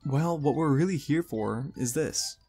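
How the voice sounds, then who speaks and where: A man's voice: on the high side, a little slow, darkish tone, husky, faint music behind. A man voicing a polite, hesitant young male pony, a protective older brother in an audio story. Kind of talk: character acting in fan audio story.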